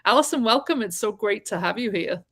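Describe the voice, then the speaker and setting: A woman's voice: mid-range voice, expressive, brisk. Upbeat woman hosting a chat. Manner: upbeat, chatty, many restarts. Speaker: interview host. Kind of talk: casual interview.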